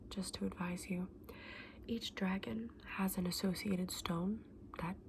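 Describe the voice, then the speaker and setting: Casting: actress as kindly, quirky female dragon-egg seller for ASMR roleplay; hushed breathy whisper, slightly low, polite old-fashioned wording, noticeable background noise. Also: close to an everyday voice. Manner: gentle, polite, quaint old-fashioned phrasing. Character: kindly, eccentric fantasy shopkeeper woman selling dragon eggs. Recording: clearly audible background noise.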